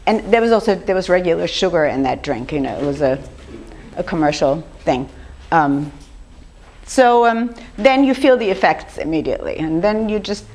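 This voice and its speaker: An older woman with a slightly rough voice gives an informal lecture, talking conversationally and putting questions to her audience. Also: mid-range voice. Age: 50s or older. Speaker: speaker giving a lecture on raw food.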